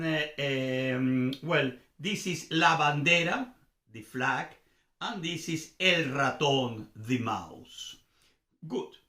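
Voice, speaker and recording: A man's voice: on the high side, bright, forceful tone, echoey room. A man from Venezuela with a Venezuelan accent and native Spanish gives an informal grammar lesson as a teacher, repeating examples and checking understanding with questions. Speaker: language teacher and host.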